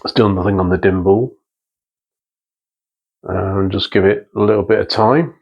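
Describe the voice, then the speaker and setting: Guy talking thru a repair job at his bench, lowish voice, pretty flat, takes it step by step. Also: measured pace, creaky, husky, dull audio. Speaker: presenter of a vintage radio restoration channel. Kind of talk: unscripted hands-on workshop commentary. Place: indoor workbench in a small workshop.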